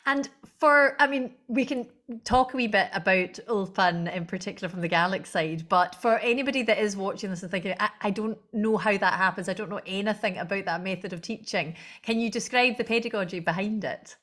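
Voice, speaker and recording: Woman interviewing, mid pitch. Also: fairly quick, hardly any pauses, a bit bright. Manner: thoughtful, with frequent restarts. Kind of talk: conversational interview.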